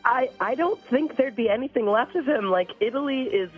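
A woman's voice: a little high, expressive, fairly quick, husky, faint music behind. Blunt woman on a tinny phone line. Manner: blunt, exasperated. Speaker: radio show caller.

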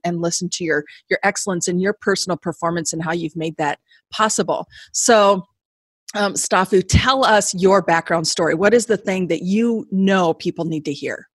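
A woman's voice: fairly low pitch, breathy edge, no room sound. A woman hosting a casual podcast interview, upbeat and chatty with lots of fillers. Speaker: podcast host.

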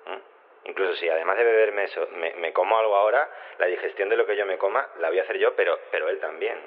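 A male actor plays an earnest, awkward man of science in an audio drama. His low voice stumbles, repeats and rambles on, heard through a muffled, narrow radio-style recording. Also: fast talker, close to an everyday voice. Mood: nervous, earnest. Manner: hesitant, rambling, repetitive. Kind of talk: naturalistic audio drama acting.

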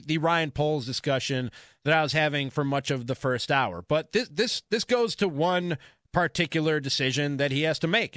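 A man's voice: on the high side, a bit bright, dry recording, studio quality. A man hosting sports talk radio, delivering an emphatic, opinionated monologue and repeating phrases for stress.

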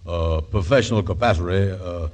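An actor playing a slow-witted, folksy schemer in 1940s radio dialect comedy, hesitant and stammering, mangling his words. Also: low voice, a little mellow. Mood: bumbling, cagey. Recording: noisy old 1940s radio recording.

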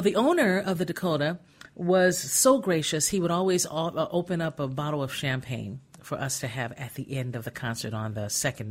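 A woman singer telling stories in a casual chat, lively and expressive. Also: low-pitched. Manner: animated storyteller. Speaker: singer and co-host.

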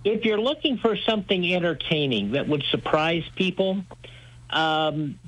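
Higher-voiced man, folksy radio guest. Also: expressive, measured pace, a bit bright, low-quality recording. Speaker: radio show host being interviewed as guest. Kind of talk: enthusiastic interview talk about old-time radio.

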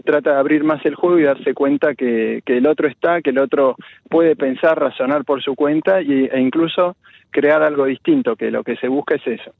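Man, Buenos Aires accent, thoughtful halting answers, filler-heavy, thin phone-line sound, dry. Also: fairly high pitch, gravelly, no room sound. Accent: Buenos Aires Spanish. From Argentina. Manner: thoughtful, full of restarts and filler "este". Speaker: children's story author, guest. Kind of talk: casual interview answers.

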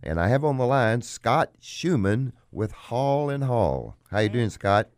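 A man hosting a radio show about auctions, a bit hoarse today, talking in a folksy, salesy way. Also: medium pitch, sing-song, unhurried, darkish tone, dry recording, studio quality. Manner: folksy, plugs his business. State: hoarse, frog in throat. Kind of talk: casual radio chat.

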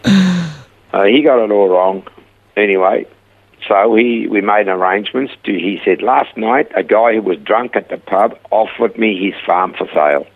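An older man with a Swiss accent telling his life story in a relaxed chat, acting out the voices in his stories. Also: fairly low pitch, unhurried, phone-quality sound. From Switzerland. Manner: animated storyteller acting out quoted dialogue. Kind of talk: casual storytelling interview.

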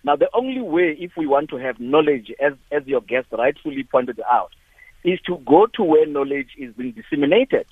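A South African man rings in to a talk show and argues with passion, often tripping over and repeating his words. His voice sits on the high side for a man. It comes through a thin, tinny phone line with a dry sound. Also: big pitch swings, moderate pace, slightly rough, dry recording. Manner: impassioned, repeats words, many restarts. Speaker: caller. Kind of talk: call-in radio comment.

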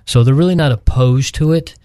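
A man speaking as a radio panel guest, explaining in a hesitant, measured way during a conversational discussion of religion. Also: mid-range voice, dark tone, no room sound.

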